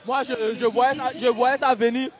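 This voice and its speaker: A man co-commentating a live fight, emphatic and excitable, often repeating words. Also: extremely high pitch, normal speed, a crowd in the background.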